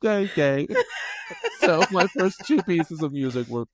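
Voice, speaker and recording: A man's voice: on the high side, animated, a little slow, dry recording, studio quality. A middle-aged man co-hosting a podcast in casual banter, teasing playfully and speaking emphatically. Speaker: podcast co-host.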